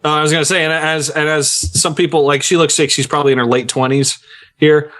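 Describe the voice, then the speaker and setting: A man on a podcast panel, explaining his points casually in a measured way. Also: on the high side, little pitch movement, a bit bright. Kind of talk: casual discussion.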